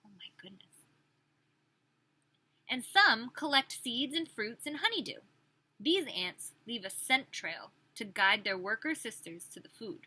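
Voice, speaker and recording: Bright, high-voiced woman reading to young children. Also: unhurried, husky, poor audio. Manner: expressive, addressing small children, chatty asides. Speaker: preschool teacher reading aloud. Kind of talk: children's picture-book read-aloud with questions to young listeners.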